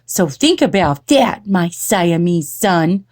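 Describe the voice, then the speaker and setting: Mother cat acted by a woman for a storybook: weary, admonishing, emphatic, with lively swoops. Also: lowish voice, slow, exaggerated voice. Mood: scolding. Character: frazzled, scolding mother cat.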